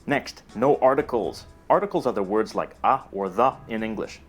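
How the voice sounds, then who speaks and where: A man giving scripted educational narration in a steady, explanatory delivery. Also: on the low side, normal speed. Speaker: narrator of a language explainer video. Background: faint music.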